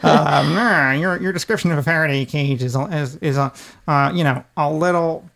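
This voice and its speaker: A middle-aged man with a high-pitched voice, chatting casually as a podcast host in an irreverent, rambling, casually profane way. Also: normal speed, vocal fry, fairly bright tone. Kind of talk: casual chat.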